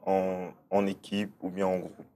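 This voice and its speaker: A man's voice: deep voice, monotone, measured pace, dark tone, a little hiss or hum. A man from Cameroon answering interview questions, hesitant and often repeating words as he thinks aloud. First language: German-speaking background. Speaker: interview guest, start-up founder.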